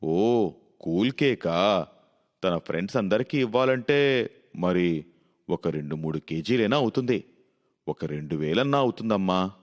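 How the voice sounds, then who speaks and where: A man's voice: deep voice, lively intonation, a little slow, pauses often, long reverb tail, muffled recording. A man playing a practical, helpful apartment worker in a storybook tale, giving matter-of-fact advice. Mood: doubtful, practical. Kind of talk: storybook dialogue for a moral tale.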